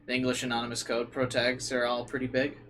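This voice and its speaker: A man's voice: mid-range voice, monotone, quick pace, fairly bright tone, slight background noise. A man reading visual novel narration aloud as a streamer, dropping into informal side comments and occasionally stumbling over words.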